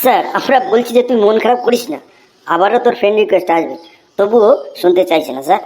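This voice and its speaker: A woman's voice: medium pitch, animated, fast, darkish tone, close to an everyday voice. A woman voicing cheeky, smart-mouthed young pupils answering a teacher with mock respect in a comic cartoon. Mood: mock-woeful. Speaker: cartoon voice actor. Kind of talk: comic Bengali cartoon dialogue.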